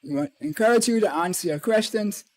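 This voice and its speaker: A man's voice: sits high, fairly quick. A Bahamian man hosting an interview podcast, easygoing and conversational, dropping in short agreements. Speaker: podcast host. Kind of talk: casual interview chat.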